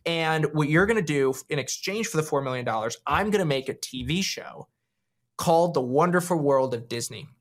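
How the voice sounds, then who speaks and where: Male, fairly high voice, earnest, emphatic, reflective interview storytelling, stretched key words. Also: expressive, moderate pace. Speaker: podcast guest, writer and founder.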